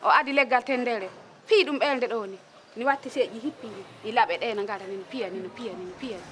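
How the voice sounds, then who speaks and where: A woman's voice: on the high side, brisk, noisy background. A woman speaks spontaneously as an interviewed witness, recounting in detail. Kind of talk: spontaneous testimony.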